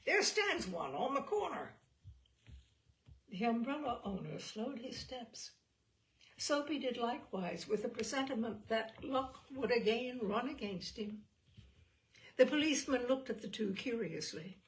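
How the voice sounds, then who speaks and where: A woman storyteller reading literary fiction aloud in an expressive, theatrical way with deliberate phrasing. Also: on the high side, poor audio.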